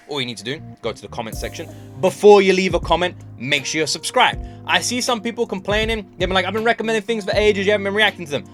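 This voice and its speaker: Animated, higher-voiced man reacting casually. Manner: chatty, emphatic, informal. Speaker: reaction channel host. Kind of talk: casual, excitable reaction commentary.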